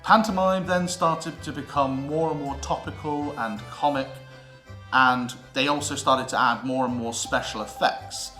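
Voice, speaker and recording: British man, bright forceful voice, theatrical educational narration, deliberate pauses, roomy echo. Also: mid-range voice. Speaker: presenter of a theatre history series. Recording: roomy echo with music underneath.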